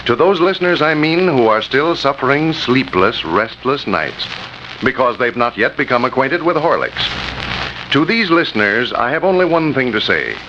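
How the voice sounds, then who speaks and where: A dull, noisy vintage radio recording of a male announcer. His delivery is lively and melodic, folksy yet measured, as he persuades in the scripted style of a 1930s sponsor spot. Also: mid-range voice.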